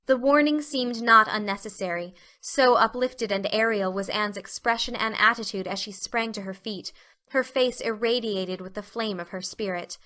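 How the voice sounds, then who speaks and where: A woman's voice: medium pitch, normal speed, bright, forceful tone. A woman reading scripted literary narration as the narrator of an audiobook.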